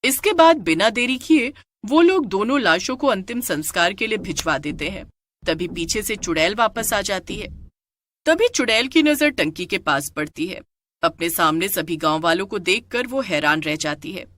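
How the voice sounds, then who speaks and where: Expressive woman story narrator. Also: mid-range voice, moderate pace, slight background noise. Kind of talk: scripted dramatic storytelling.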